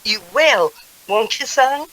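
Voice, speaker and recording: Casting: worried mother, highish bright voice, fretful pleading, radio play. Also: animated, slow, rough recording. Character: anxious, fussing mother of a grown son. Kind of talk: radio drama acting.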